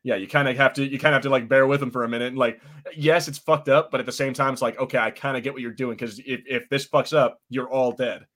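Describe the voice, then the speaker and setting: A man's voice: mid-range voice, steady tone, fast talker, hardly any pauses, a bit bright. Male host, mid voice, loose, chatty and rambling. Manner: easygoing, rambling, laughs often. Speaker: podcast host. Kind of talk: casual chat.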